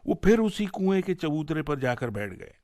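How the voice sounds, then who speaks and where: A man's voice: a little high, normal speed, no echo, studio quality. A man with an Indian accent narrating a folk tale expressively as a radio storyteller, in a dramatic scripted style. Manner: dramatic, animated storytelling delivery. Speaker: storyteller and presenter on a radio show.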